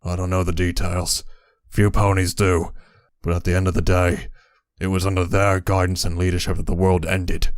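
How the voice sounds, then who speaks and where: Grim raider stallion for audio drama, male actor: very low, flat delivery, bitter and blunt. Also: normal speed, clean tone, no echo, studio quality, lightly acted. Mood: bitter, scornful. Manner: scornful, blunt. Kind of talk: dramatic character acting in an audio story.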